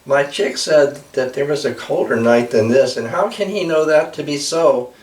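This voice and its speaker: A man's voice: on the high side, normal speed, pitched above the performer's own voice, natural voice. A fretful mother eagle, as a man puts her on. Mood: plaintive. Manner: plaintive, complaining. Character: worried mother eagle, fretting about the cold. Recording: echoey room, far from mic.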